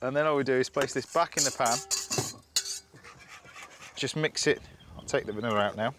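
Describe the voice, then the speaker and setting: A man, a TV chef chatting through a cooking demonstration outdoors, instructive and quick to laugh. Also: medium pitch, background noise. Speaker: TV chef host. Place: outdoors at a campsite.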